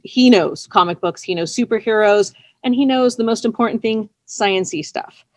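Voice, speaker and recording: A woman with a medium-pitched voice talks as an interview guest. She tells her story casually, chatty and expressive with a self-effacing touch. The recording is dry and free of room echo. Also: normal speed. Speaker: interview guest, audio drama writer.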